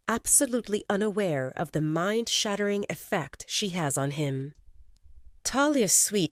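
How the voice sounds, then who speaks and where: A woman narrating a scripted audio drama in an expressive, intimate storytelling manner. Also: lowish voice, no echo, studio quality.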